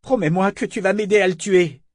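A man voicing a traumatized young woman sailor who speaks in a frantic, rasping half-sob. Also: high voice, a little fast, no echo, studio quality, pitched above the performer's own voice. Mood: distraught.

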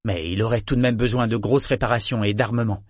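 A man's voice: lowish voice, steady tone, quick pace, no room sound, clean studio sound, lightly acted. A man voicing a proud, blunt, bitter sailor in an audiobook. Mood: matter-of-fact. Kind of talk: audiobook character dialogue.

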